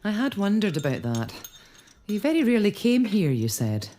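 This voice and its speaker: A woman's voice: mid-range voice, very animated, normal speed, warm tone, lightly acted. An actress playing a curious, determined lady of a castle in a period audio drama, probing and earnest. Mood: thoughtful.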